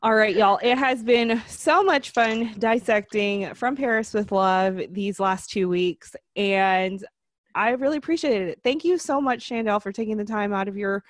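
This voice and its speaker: A woman's voice: mid-range voice, a little slow, dry recording. A woman hosting a casual podcast, upbeat and running a trivia quiz with her guests. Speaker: podcast host.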